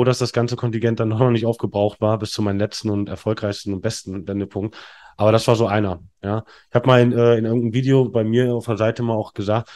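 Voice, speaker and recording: Low-voiced guy in his 30s, rambles on frankly, lots of ums. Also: mellow. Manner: frank, rambling, frequent fillers and restarts. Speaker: podcast guest. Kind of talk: candid conversational storytelling.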